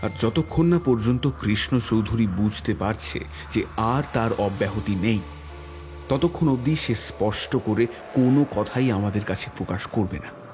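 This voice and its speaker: A man narrating a detective audio story in the first person as a police inspector, with measured, deliberate dramatic phrasing. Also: fairly low pitch, a little mellow, slight background noise. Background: background music.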